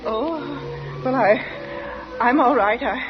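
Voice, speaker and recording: Flustered, high-voiced woman. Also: takes it slowly, music in the background. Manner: hesitant, faltering. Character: anxious, flustered stepmother covering her fear. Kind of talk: 1940s radio acting. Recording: dull old radio recording.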